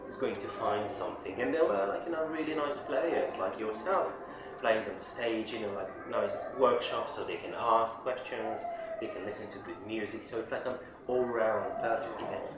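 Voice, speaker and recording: Man from Hungary, non-native English, lowish voice, keen and repetitive talker, heard from far off in a loud, echoey hall. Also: normal speed, hardly any pauses, loud music behind the voice. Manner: enthusiastic, repeats words while searching for them. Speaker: interviewee drummer. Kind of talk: casual interview answers. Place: busy drum fair hall.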